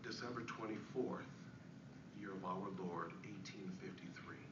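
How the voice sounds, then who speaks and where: A man playing a poor, humble Victorian father and clerk, reading his diary aloud in an earnest, theatrical way with deliberate pauses. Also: low voice. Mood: humble, tender. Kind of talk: dramatic diary-style reading of an essay. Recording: echoey, noisy, muffled recording from far off.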